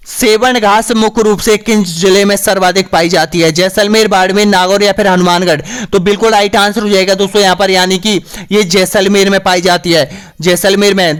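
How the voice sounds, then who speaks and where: A man teaches an online exam-prep lesson, and his voice is a little hoarse. He speaks in a high, clear, smooth tone. He asks each question, then answers it himself, with a constant "friends, here" as a filler. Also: fairly bright tone. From Rajasthan, India. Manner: repeats "dosto yahan par", asks then answers. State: slightly hoarse.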